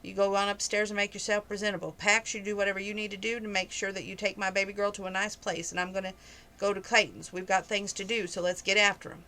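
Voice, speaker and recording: A woman's voice: mid-range voice, little pitch movement, fast talker, bright, forceful tone. A woman reading aloud, voicing a bossy rancher father in a storybook. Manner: bossy, commanding.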